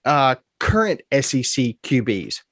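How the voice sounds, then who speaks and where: A man with a slightly stuffy, congested voice chats casually as a podcast host. He sounds relaxed and thinks out loud as he goes. The sound is dry and close, with no room echo. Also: medium pitch, measured pace. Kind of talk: casual sports chat.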